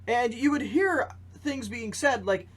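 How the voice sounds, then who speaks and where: A man's voice: very high-pitched, animated, unhurried. A man giving conversational news commentary as a podcast host, posing rhetorical questions and repeating phrases for emphasis. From Canada.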